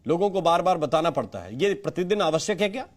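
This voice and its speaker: High-pitched male debate anchor, pressing. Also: lively intonation, quick pace. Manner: insistent, challenging. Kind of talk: panel debate moderation, pointed questioning.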